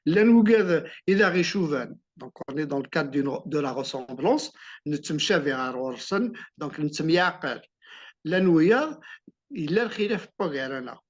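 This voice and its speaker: A man's voice: fairly high pitch, expressive, a little slow. A man from Algeria, an author answering interview questions in a reflective way, often restarting and repeating words. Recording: muffled lo-fi sound.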